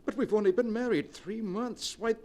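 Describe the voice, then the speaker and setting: An actor playing a desperate, clinging wealthy husband in a 1940s radio drama, pleading and anguished. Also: male voice, high voice, moderate pace, a little mellow, lightly acted. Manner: pleading, breaking into anguished outbursts.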